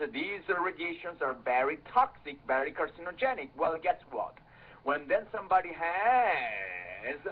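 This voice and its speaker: A man's voice: high-pitched, breathy edge. A man speaking as an interview guest, emphatic, repeating words and piling up synonyms to press his point. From half English and half Italian, lived in many countries. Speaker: interview guest, health author. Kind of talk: animated interview monologue. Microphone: telephone. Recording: tinny, narrow telephone line.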